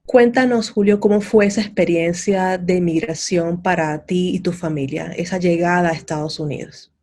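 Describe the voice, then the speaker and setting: A woman's voice: on the low side, fairly even intonation, moderate pace, a little mellow, slight background noise. A woman hosting an interview show, asking questions in a welcoming, conversational way. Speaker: host. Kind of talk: interview host.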